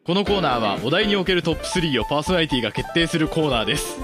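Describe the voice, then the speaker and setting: A man's voice: fairly high pitch, sing-song, rapid-fire. A man around thirty co-hosting a casual radio show with a teasing, reactive manner. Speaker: podcast co-host. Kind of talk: casual radio banter and quiz reading. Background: background music.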